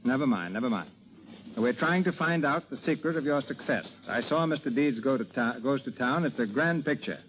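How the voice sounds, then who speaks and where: A man's voice: mid-range voice, expressive, fairly quick. A man hosting a scripted, bantering 1930s radio interview. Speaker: interviewer and show host. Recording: dull, slightly noisy 1930s radio recording.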